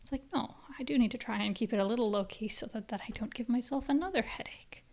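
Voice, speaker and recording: A young woman from Canada hosting a podcast on her own, worn out by a migraine and talking in a soft, rambling, self-interrupting way with whispery asides. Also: on the high side, quick pace. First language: English. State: tired, with a migraine. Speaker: podcast host. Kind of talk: casual solo podcast chat.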